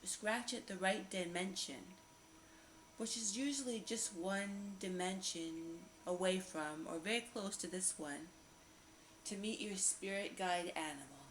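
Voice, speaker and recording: A woman leads a guided visualization at a medium pitch, lingering over phrases and repeating them soothingly. Her voice rings with noticeable room echo, and a faint layer of noise sits behind it. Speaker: guided meditation host. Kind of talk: guided meditation visualization narration.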